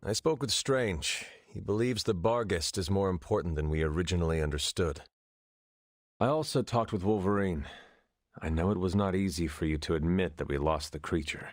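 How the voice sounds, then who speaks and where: An actor playing a solemn, earnest resurrected demon hunter in a video game, speaking formally and with measured phrasing. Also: male voice, sits low, dry recording, studio quality. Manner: formal, measured, avoids contractions. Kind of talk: video game cutscene acting.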